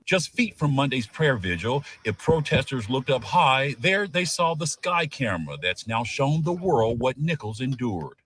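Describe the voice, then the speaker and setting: A man's voice: medium pitch, expressive, moderate pace, hardly any pauses, a bit bright. A man narrating a scripted television news report.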